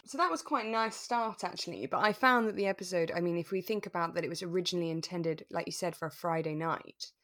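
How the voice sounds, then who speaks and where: Low-voiced woman, casual podcast chat. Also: fast, few pauses, fairly bright tone, dry recording. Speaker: podcast co-host. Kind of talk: casual chat about a radio drama.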